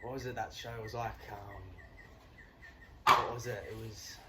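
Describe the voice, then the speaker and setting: A man's voice: fairly low pitch, steady tone, measured pace. A young man born in New Zealand and raised in Sydney, telling stories hesitantly with many ums and restarts. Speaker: young rugby player. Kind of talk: casual interview chat. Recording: noticeable background noise.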